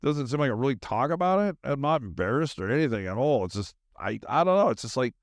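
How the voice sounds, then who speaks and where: A man's voice: mid-range voice, very animated, quick pace, dry recording, clean studio sound. A middle-aged man hosting a podcast, chatting casually and enthusiastically in a scattered, slangy way as he thinks aloud. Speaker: podcast host. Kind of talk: casual rambling solo chat.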